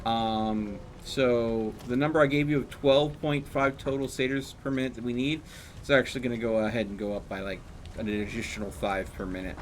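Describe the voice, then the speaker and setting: A man's voice: medium pitch, steady tone, normal speed. Casual man streaming a game, light background noise. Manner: thinking aloud, explaining numbers. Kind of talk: casual chat while gaming.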